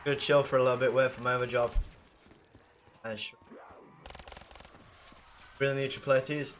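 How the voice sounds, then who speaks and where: A man's voice: mid-range voice, steady tone, moderate pace. Young guy gaming on stream, stuffy nose, sweary and chatty, faint hum behind. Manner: chatty, swears casually, slangy. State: blocked nose and rough throat. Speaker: livestreamer playing a zombie game. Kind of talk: casual chat with viewers while gaming.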